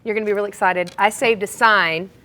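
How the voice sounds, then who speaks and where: A woman's voice: medium pitch, steady tone, brisk, noisy background. An American woman working as a TV news anchor, chatting at the desk and reading local news.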